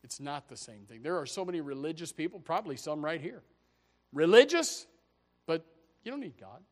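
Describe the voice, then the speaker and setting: A man in his forties delivers a church sermon with a voice set a little higher than most men's. He surges into loud, emphatic peaks, then sinks into low, quiet stretches, hammering home the same phrases again and again. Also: sing-song, normal speed. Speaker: pastor. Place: church service before a congregation.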